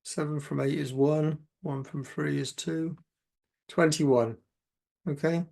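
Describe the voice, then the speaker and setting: A man with a croaky voice, working through exam maths questions aloud step by step as a tutor. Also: a little high, takes it slowly. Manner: steady step-by-step, talks himself through sums.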